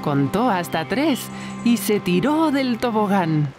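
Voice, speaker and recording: A woman's voice: medium pitch, very animated, takes it slowly, music in the background. A woman with a Latin American accent reading scripted narration as the storyteller of a children's cartoon.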